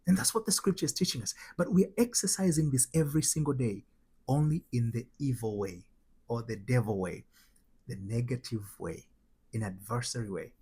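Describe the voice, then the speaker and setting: Male, Tanzanian accent, husky voice, mid pitch, motivational spiritual coach, emphatic delivery, repeated phrases, rhetorical questions. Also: moderate pace, mellow. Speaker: host, spiritual transformation coach. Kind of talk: motivational spiritual teaching with personal anecdotes.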